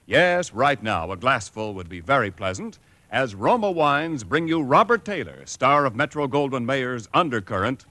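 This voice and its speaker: A man delivers a vintage radio sponsor announcement with a bright, lively voice. He sounds smooth and persuasive, slowing down to spell things out. The sound is dry and close. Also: medium pitch, dry recording.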